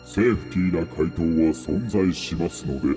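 Male actor as courteous sinister male game master, mellow low voice, formal, heavy reverb, audio drama. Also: faint music behind, lightly acted. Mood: coolly polite. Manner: formal, measured announcer-like politeness. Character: cool, polite, menacing male death-game master. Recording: long reverb on the voice.

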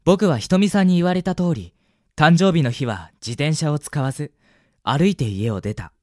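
A young man playing a gentle, sentimental university student in a radio drama, with an earnest, polite manner. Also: on the high side, animated, moderate pace, no room sound, studio quality, close to an everyday voice. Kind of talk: radio drama acting with narration. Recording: music bed under the voice.

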